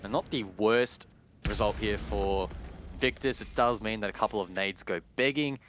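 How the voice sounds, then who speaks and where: A man's voice: on the low side, moderate pace, fairly bright tone, a little hiss or hum. A man giving analytical live commentary on an esports match as a caster.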